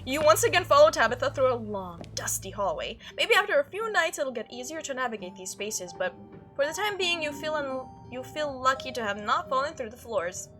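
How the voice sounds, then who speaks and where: Female gaming host, expressive, playful commentary, read-aloud narration, lively pitch swings, bright, background music. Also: a little fast. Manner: expressive, animated.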